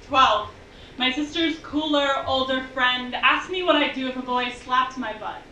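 A young woman performing spoken-word poetry on a bar stage, with measured, intense, rhythmic delivery. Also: high-pitched, bright, forceful tone, a little hiss or hum. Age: young adult. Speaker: slam poet. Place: bar stage venue, indoors. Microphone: stage mic on stand, filmed from afar. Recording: distant, dull, echoey room recording.

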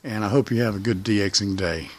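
Male hobby vlogger, lowish voice, informal and rambling, talking outdoors behind a handheld camera. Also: steady tone, brisk, darkish tone. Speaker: hobby radio video maker. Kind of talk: casual vlog narration while filming. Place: outdoors in a backyard.

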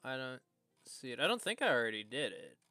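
A man livestreaming a video game, casually thinking aloud in a halting way as he plays. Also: medium pitch, wildly swooping pitch, sharp tone, no echo. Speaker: game streamer.

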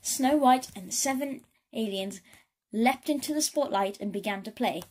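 A woman storyteller giving an expressive read-aloud of a children's story. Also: fairly high pitch, normal speed.

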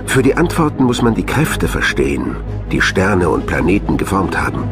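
A man narrates a documentary in a very deep voice with lively, swinging intonation. His scripted delivery is measured and dramatic, and music plays clearly behind him. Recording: voice over a music bed.

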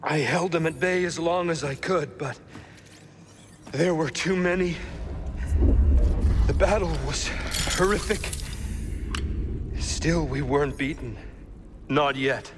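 An actor playing a proud, bitter, betrayed ancient Jedi warrior in a video game, speaking in a grandiose, formal, theatrical way. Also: male voice, on the high side, slow pace, long pauses, background noise. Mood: bitter, aggrieved. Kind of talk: dramatic cinematic game acting.

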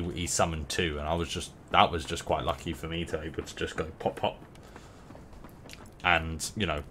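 A young man with a runny nose giving rambling, playful commentary while playing a game. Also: deep voice. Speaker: gameplay streamer. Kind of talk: casual gameplay commentary. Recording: noisy game sound behind voice.

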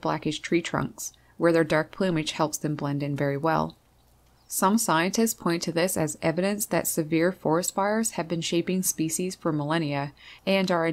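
A woman doing scripted nature narration as a birdwatching vlogger. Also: sits low.

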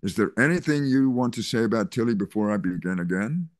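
An older man reading a kindly small-town male pastor in an online play reading, soothing and measured, over a video call. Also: lowish voice, lively intonation, dry recording, clean studio sound, close to an everyday voice. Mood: calm. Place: home room on a video call. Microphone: video call computer mic.

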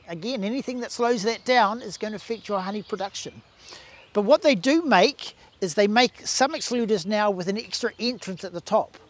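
A man in his sixties with a New Zealand accent, a bee scientist explaining hive equipment outdoors in a garden, talking with restarts and gestures. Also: high-pitched. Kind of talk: outdoor demonstration talk. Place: garden outdoors beside beehives.

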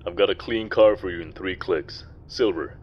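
Guy acting spy handler dude in an audio rp, really low voice, dry and businesslike. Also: normal speed, dull audio, slight background noise, lightly acted. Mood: focused, clipped. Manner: clipped, matter-of-fact, dry. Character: loyal, businesslike male spy handler, dryly wry.

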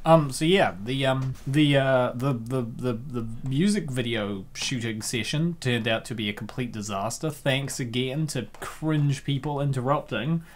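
A young adult hosting a comic dub with casual reaction commentary, excitable, rambling and full of slang. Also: mid-range voice, unhurried, hardly any pauses, slight background noise.

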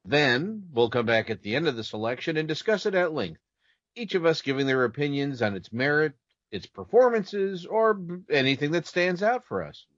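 A man's voice: a little high, very animated, normal speed, fairly bright tone, dry recording. A man co-hosting a podcast, presenting in a scripted introductory style. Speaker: podcast co-host. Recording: dull, dry lo-fi microphone sound.

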